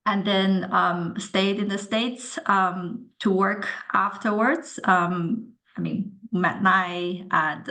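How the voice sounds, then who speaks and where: A woman in her thirties from China, a webinar panelist on a video call, speaking in a measured way with many "um" fillers. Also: on the low side, bright, forceful tone, rough recording. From China (Nanjing).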